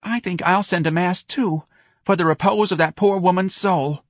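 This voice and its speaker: A man performs a middle-aged New Orleans mother, pitched high and full of feeling. She drawls in a plaintive, folksy way and is easily swayed. It is an audiobook character in a very dry recording. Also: normal speed, a bit bright, no echo. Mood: sorrowful. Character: emotional, pliable middle-aged Southern mother, woman.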